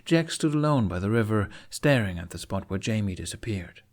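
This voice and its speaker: A man narrating a fantasy story in an expressive, theatrical audiobook style. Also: lowish voice, brisk. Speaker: fan fiction narrator.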